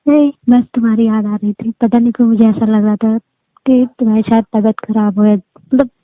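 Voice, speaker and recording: A woman's voice: a little high, fairly even intonation, a little fast, dry recording. A woman chatting casually and privately as a caller on a phone call. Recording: dry, narrow tinny phone line.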